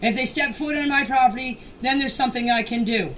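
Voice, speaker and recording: High-voiced woman, noisy distant voice message. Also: brisk, a bit bright. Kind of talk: unscripted personal statement in voice messages.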